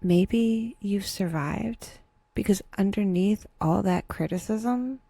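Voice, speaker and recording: A woman narrating a scripted guided meditation with wry, dry humor and a soft, breathy delivery, leaving unhurried pauses. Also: mid-range voice, no echo.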